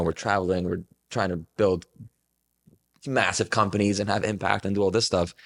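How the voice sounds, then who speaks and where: A man's voice: low-pitched, vocal fry. A man in his twenties talking casually and reflectively as a podcast guest, earnest and rambling, with plenty of filler words. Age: 20s.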